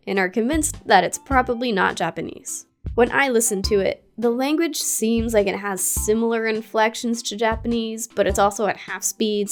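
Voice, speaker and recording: A woman narrating a scripted gaming video essay in a curious, playful way, with casual asides. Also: medium pitch. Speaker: gaming YouTuber.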